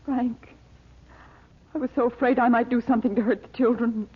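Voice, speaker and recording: A tinny old radio recording carries an anguished mother in a vintage serial. Her voice is husky and full of fear. She pleads emotionally, as if haunted by something evil. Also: on the high side, fairly even intonation, a little fast. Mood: afraid, pleading. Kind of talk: 1940s radio drama acting.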